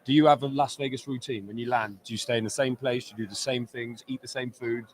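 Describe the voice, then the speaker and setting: A man with a middle-pitched voice asks an interview guest easygoing questions. His tone stays casual and conversational. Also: fast talker, a little hiss or hum. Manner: relaxed, easy questioning. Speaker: co-host of a boxing news livestream.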